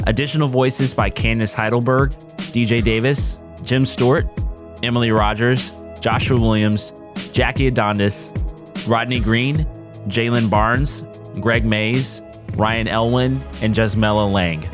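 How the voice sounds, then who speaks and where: A man's voice: mid-range voice, steady tone, unhurried, over music. A man reading scripted podcast credits as an announcer.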